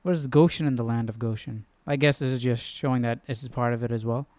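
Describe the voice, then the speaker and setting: Guy chatting casually on a stream, smooth clear voice, dry room, slangy and kinda halting as he figures stuff out. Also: mid-range voice, fairly even intonation, darkish tone, dry recording. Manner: informal, slangy, halting as he works things out. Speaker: host of a live Bible study stream. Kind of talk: casual chat, thinking aloud.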